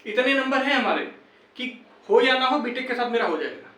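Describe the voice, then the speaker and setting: Young male campaigner, high voice, urging peers insistently with a reproachful edge; recorded echoey and off-mic. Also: lively intonation, a little fast, bright, forceful tone. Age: young adult. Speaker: exam candidate and campaign organiser. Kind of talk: motivational appeal to fellow candidates. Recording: echoey room, distant microphone.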